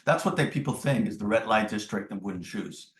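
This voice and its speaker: A middle-aged man from the Netherlands, a native Dutch speaker, answers interview questions in a reflective, measured conversational way. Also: lowish voice, animated. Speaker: podcast guest, business executive. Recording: roomy, echoing sound.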